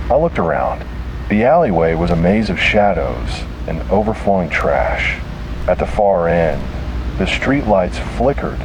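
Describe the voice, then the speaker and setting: A man reads a scripted first-person horror story dramatically, in short deliberate phrases. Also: sits low, background noise.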